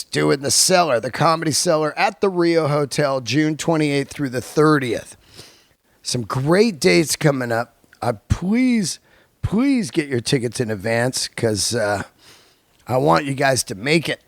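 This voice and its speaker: A man hosting a podcast in an unscripted, excitable and effusive way, with slangy, sweary talk. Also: fairly high pitch, creaky, no echo, clean studio sound. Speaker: podcast host and comedian. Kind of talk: unscripted podcast intro and chat.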